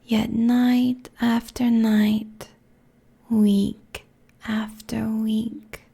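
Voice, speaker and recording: Woman acting as a cruel ancient demon queen, breathy whisper, super slow n creaky, taunting asmr roleplay right up on the mic. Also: fairly high pitch, level tone, dark tone, heavily acted. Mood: haughty, menacing. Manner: slow, deliberate, taunting, haughty. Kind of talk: ASMR roleplay audio drama. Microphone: close binaural microphone.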